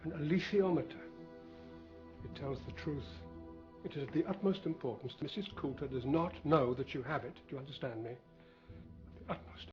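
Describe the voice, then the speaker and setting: An actor playing an earnest, secretive scholar, grave and insistent, in a film drama. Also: male voice, on the high side, moderate pace, close to an everyday voice. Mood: urgent, secretive. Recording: dull film audio under loud music.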